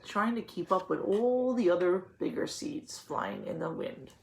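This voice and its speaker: A woman's voice: on the low side, very animated, unhurried, vocal fry, noisy background. A woman teacher reading a picture book aloud to young children, patiently explaining in simple words and putting questions to the listeners.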